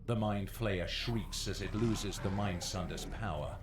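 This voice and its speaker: A man's voice: deep voice, measured pace. A man narrating a fantasy video game as a grave, storytelling dungeon master. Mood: grave, suspenseful. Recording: clear noise behind the voice.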